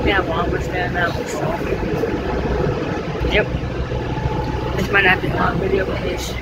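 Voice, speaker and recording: A woman's voice: on the low side, little pitch movement, measured pace. A woman with an American accent chats casually and ramblingly, as an amateur vlogger on a bus. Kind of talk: casual chat to camera. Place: inside a moving city bus. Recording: loud bus engine and road noise.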